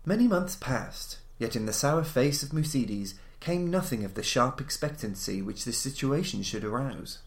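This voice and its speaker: A man's voice: medium pitch, animated. Man narrating gothic tales in echoey room. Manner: formal, measured, dramatic storyteller delivery. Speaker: podcast host and narrator. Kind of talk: theatrical scripted reading of gothic horror stories. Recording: roomy, echoing voice recording.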